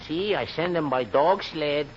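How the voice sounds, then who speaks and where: A man's voice: fairly high pitch, measured pace, close to an everyday voice. An actor playing a meek, muddled handyman with a Mexican accent in a 1940s radio comedy. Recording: muffled, very noisy old radio recording.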